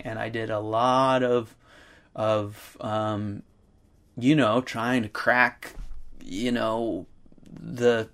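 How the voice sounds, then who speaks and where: Gravelly man talking in rambling fillers. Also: mid-range voice, a bit bright. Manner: rambling, halting, many fillers. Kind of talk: casual conversational talk.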